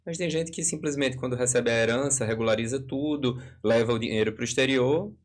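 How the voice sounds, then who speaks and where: A man's voice: medium pitch, brisk. A man with a Brazilian accent explaining things didactically in a live discussion, working through hypothetical examples.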